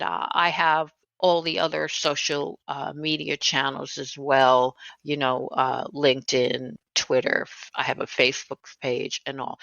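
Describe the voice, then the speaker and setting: An older woman from New City speaks in an interview with a bright, forward tone. She talks casually and loosely, with frequent fillers and restarts. The recording is dry and dull, with a lo-fi sound. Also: fairly low pitch, creaky, no room sound. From New York City. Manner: chatty, with many fillers and restarts. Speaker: guest, author and educator.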